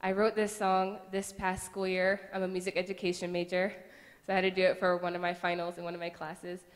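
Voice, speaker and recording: A woman's voice: on the low side, monotone, fairly quick. A woman of about nineteen from Connecticut giving an earnest, plain personal testimony during a church service. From Connecticut, USA. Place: church sanctuary. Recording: long echo of a church hall.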